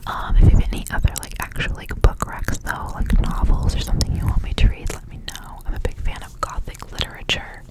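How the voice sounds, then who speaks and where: A woman whispering softly in a breathy, rambling ASMR style, as a host reading and chatting casually. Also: fairly quick, hardly any pauses. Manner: soft, rambling, repeats words.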